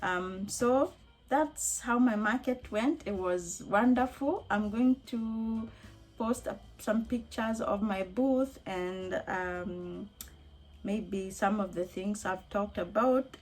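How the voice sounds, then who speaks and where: A woman with a slightly hoarse voice, chatting casually and upbeat in a rambling vlog recap as a craft hobbyist. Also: medium pitch, darkish tone. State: slightly hoarse from being unwell. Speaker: crochet hobbyist and craft vendor vlogger.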